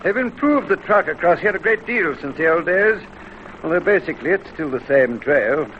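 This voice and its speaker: Male travel guide, informative and practical, animated intonation, lo-fi vintage sound with light noise. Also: fairly high pitch, normal speed. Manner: matter-of-fact, informative. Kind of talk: explanatory travel commentary. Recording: dull, noisy vintage radio recording.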